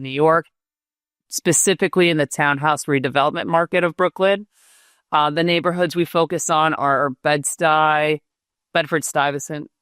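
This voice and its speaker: A woman in her forties from Israel, a real estate agent answering interview questions in a measured way with frequent fillers. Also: deep voice, fairly bright tone, clear, resonant tone, no echo, studio quality.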